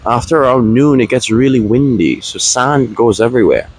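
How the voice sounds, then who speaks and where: Husky-voiced man in his late twenties. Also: mid-range voice, moderate pace, rough recording. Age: 20s. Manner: rambling, with restarts and laughs. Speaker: vlogger. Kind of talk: casual talking-to-camera vlog. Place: outdoors at a beach.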